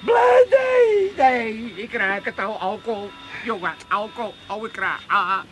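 A man's voice: high-pitched, very animated, unhurried, over loud music. An actor playing a blustering, self-pitying old father with an Amsterdam accent in a radio comedy, theatrical and indignant. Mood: indignant, accusing. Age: older.

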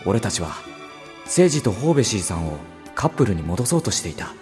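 Kindly teenage boy lead, audio drama, casual sincere delivery, lively intonation, music underneath. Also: lowish voice, brisk. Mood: earnest narrating. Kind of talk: Japanese visual novel audio drama acting, with narration.

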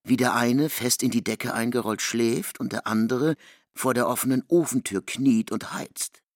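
Male actor as storyteller of a bleak novel, gravelly and very dry sound, lots of pitch movement. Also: moderate pace, no echo, lightly acted. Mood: confessing, restless. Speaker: narrator. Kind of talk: literary radio play narration.

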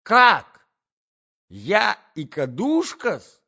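An indignant provincial gentlewoman acted by a male reader shrieks her grievances in a high, wildly swinging voice. She is vindictive and affronted, stretching out old-fashioned retorts. The storybook recording sounds slightly dull. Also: wildly swooping pitch, vocal fry, slightly rough, exaggerated voice. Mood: shrieking outrage. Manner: shrill, servile old-fashioned speech tics, drawn-out retorts.

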